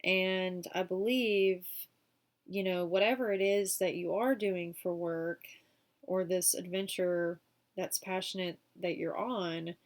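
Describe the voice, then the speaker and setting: A woman with a cold doing a casual weekly tarot reading, chatty and full of fillers. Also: fairly low pitch. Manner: chatty, many fillers like um and you know. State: sick, sniffling. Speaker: tarot reader.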